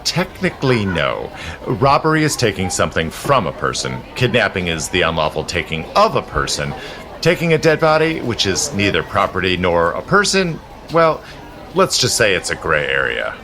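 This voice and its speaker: Very deep-voiced, persuasive gentleman protector. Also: wildly swooping pitch, moderate pace, a crowd in the background, heavily acted. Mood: sly, matter-of-fact. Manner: smooth, persuasive, theatrical, genteel formal phrasing. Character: suave, zealous immortal gentleman protector in a borrowed body. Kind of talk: period audio drama acting.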